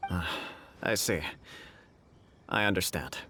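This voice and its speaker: Formal, dignified shogunate official. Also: male voice, sits low, slow pace, bright, forceful tone, soft background music, heavily acted. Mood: gracious. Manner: formal, polite, diplomatic. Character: courteous, dignified adult male shogunate official. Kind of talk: anime video game character acting.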